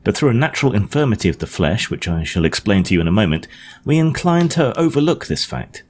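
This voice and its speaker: Guy reading as a victorian scientist gent, deep, earnest and preachy about his theories, storybook style, bit of noise behind. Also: very animated, quick pace, a little mellow. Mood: animated lecturing. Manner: didactic, emphatic, precise. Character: earnest Victorian gentleman scientist lecturing guests on time. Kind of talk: audiobook character dialogue. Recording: clearly noisy home recording.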